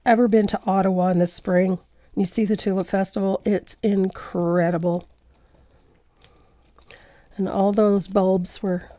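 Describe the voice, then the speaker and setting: A woman teaches a painting lesson in a mellow, unhurried voice, stuffed up and a little nasal from a cold. She rambles chattily and laughs at herself often. The sound is dry and close. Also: medium pitch, no echo. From Canada. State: congested from a cold. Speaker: painting instructor. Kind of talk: live painting tutorial, chatty.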